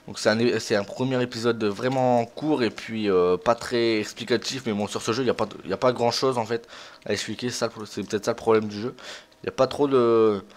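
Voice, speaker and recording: A man's voice: mid-range voice, fairly even intonation, a little fast. Young Frenchman rambling through game commentary. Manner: informal, rambling, self-deprecating. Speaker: gaming video host. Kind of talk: casual let's play commentary.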